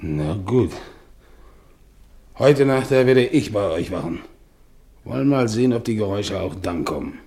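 An actor playing a scornful, bullying working-class carpenter in a 1950s German radio drama, brusque and mocking in manner. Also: male voice, on the low side, expressive, measured pace, long pauses, mellow. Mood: wary.

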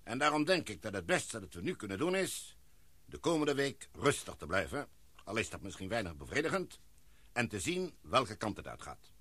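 A middle-aged expedition chief who speaks with deliberate calm and authority. His voice sits low and a little husky, yet rises and falls widely. The sound is close and dry. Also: dry recording, heavily acted. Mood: grave. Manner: measured, deliberate, commanding. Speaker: expedition leader. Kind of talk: radio play acting.

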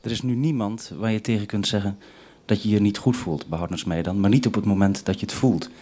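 A male radio interviewer with a lowish, husky voice. He words his questions formally and carefully, as one does over a two-way link. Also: a little fast, mellow.

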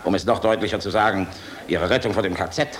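An actor in a German radio play, playing a middle-aged air force general, weary and decent, measured and frank with dry irony. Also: male voice, sits low, lightly acted. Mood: earnest, grave. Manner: measured, frank, dryly ironic. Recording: noisy radio play recording.

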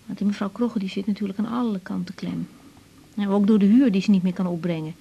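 An actress with a Netherlands Dutch accent playing a caring, chatty young doctor in a radio drama, conciliatory and coaxing in manner. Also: female voice, mid-range voice, fast talker, vocal fry, dark tone, breathy edge, highs cut off. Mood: concerned. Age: young adult.

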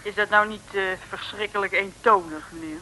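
An actress playing a prim, curious detective's assistant who asks polite, hesitant questions in an old comic radio serial. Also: female voice, medium pitch, normal speed, bright, forceful tone, natural voice, rough recording. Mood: puzzled, polite. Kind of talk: 1960s Dutch comic radio serial acting. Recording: hissy old radio recording.

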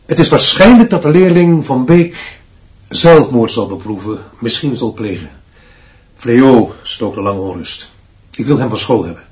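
An actor in a radio drama playing a merciless, authoritarian school director who speaks in clipped pronouncements. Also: male voice, medium pitch, expressive, unhurried, a little mellow, dull audio, lightly acted. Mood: grave, emphatic. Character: stern, dictatorial male headmaster, unbending and merciless. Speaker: school director addressing his teaching staff.

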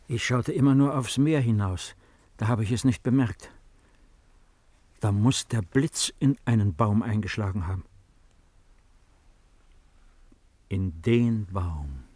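A man's voice: lowish voice, darkish tone, husky, no echo. An older man playing a brooding, wistful old emperor in a 1950s radio drama, speaking in a reflective, musing way. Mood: preoccupied.

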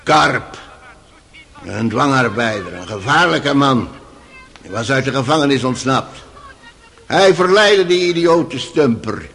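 Radio play: old manservant witness, mid-pitched, rambling, hesitant, quick to take offence. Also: male voice, lively intonation, a bit bright, a little hiss or hum. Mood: indignant, defensive. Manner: rambling, hesitant, indignant when challenged. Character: excitable old male servant testifying in court. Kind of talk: radio drama acting.

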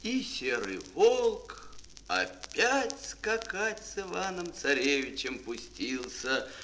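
Мужчина театрально и декламационно рассказывает детскую сказку как рассказчик аудиоспектакля. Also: male voice, medium pitch, pushed and bright. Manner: theatrical, declamatory. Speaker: narrator. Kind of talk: dramatic fairy-tale narration for children. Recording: old mono record, faint noise.

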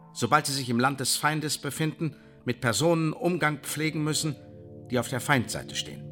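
A stern male intelligence chief played by an actor lays down rules in an emphatic, coldly threatening manner. His voice sits at a medium pitch, with music clearly playing behind him in this radio play. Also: close to an everyday voice. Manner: emphatic, commanding, rhetorical. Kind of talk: radio drama monologue.

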